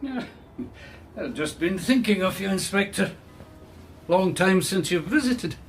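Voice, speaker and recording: An elderly Scottish gentleman of secrets in a radio play. His voice sits a little high for a man and moves around freely as he teases and reminisces. He is wry and genteel. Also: animated, unhurried. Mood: wry welcome. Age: older. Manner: wry, teasing, reminiscing with chuckles. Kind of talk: radio drama acting. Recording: music behind.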